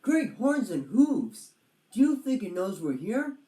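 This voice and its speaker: A bold storybook turtle gentleman acted by a woman speaks with theatrical pomp. He is chummy and confident, with a very animated delivery in a roomy, echoing space. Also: medium pitch, measured pace, far from the mic, voice pushed up. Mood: curious, chummy. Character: hearty, confident adventurous male turtle, chummy gentleman explorer.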